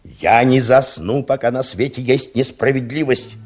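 An older man acting in an audio drama, playing a stubborn, idealistic old engineer who plots emphatically in a husky, gravelly voice. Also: mid-range voice, a little slow, over music, natural voice. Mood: stubborn, defiant. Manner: emphatic, theatrical, plotting. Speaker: actor in a radio play.

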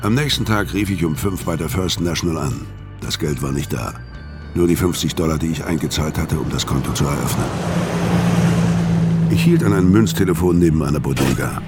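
Deep, weary hard-boiled killer narrating. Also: male voice, brisk. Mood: grim, matter-of-fact. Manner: terse, matter-of-fact. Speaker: narrator and lead actor in a radio crime drama. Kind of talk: scripted first-person noir narration in a radio play. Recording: music under the voice.

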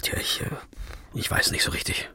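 A man's voice: moderate pace, bright, forceful tone, no room sound, in a whisper. An actor playing a blunt, grieving man in an audio drama, speaking in a laconic, detached way, with music playing underneath. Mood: evasive. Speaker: first-person narrator and lead character.

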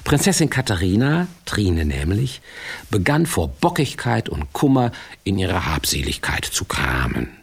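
An actor playing a wry, confiding fairy-tale narrator in a radio play, with a husky, breathy voice. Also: male voice, deep voice, full character voice.

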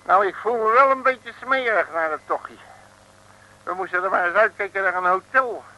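A man's voice: high voice, moderate pace, pushed and bright, poor audio. A man in an old radio play playing a cocky, chatty, streetwise detective. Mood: jaunty. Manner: folksy, boastful, colloquial. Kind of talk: 1960s radio play acting.

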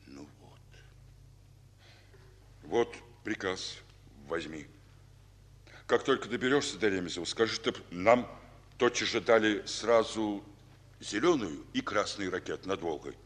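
An actor playing a weary, fatherly general in a Russian war drama, speaking in a pensive, halting way. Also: lowish voice, expressive, fairly bright tone. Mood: grave, fatherly. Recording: old reverberant radio recording with music.

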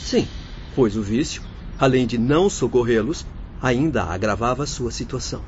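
An actor playing a severe, formal spirit guide in a radio drama, explaining didactically over music. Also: male voice, mid-range voice, animated, moderate pace, darkish tone, a little hiss or hum, close to an everyday voice. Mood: severe, grave. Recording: dull lo-fi audio under music.

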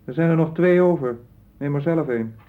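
A man's voice: a little high, moderate pace, slight background noise, close to an everyday voice. An actor playing an evasive man who gives terse, dry replies in a 1960s radio play. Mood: baffled, curt. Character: evasive, curt man deflecting questions. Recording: tinny, narrow 1960s radio recording.

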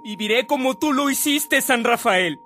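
A young actor playing an earnest, devout, resolute seminarian in a radio drama, solemn in manner. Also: male voice, very high-pitched, animated, moderate pace, sharp tone, faint music behind, exaggerated voice. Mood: resolute, moved.

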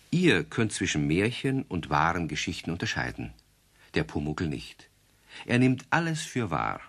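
A man narrating a children's radio play in a calm storytelling cadence. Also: deep voice.

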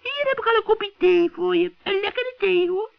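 A man voicing a scheming old witch in a children's radio play, shrill and cackling, with sugary, wheedling friendliness. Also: creaky, no echo, heavily acted. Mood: sugary, gleeful. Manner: wheedling, drawn-out coaxing. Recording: dull, dry vintage radio recording.

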